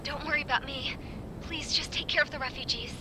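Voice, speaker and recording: An actress playing a brave, selfless young princess in danger, in dramatic game dialogue. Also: female voice, steady tone, brisk, natural voice. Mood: brave, urgent. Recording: clear rumbling noise behind.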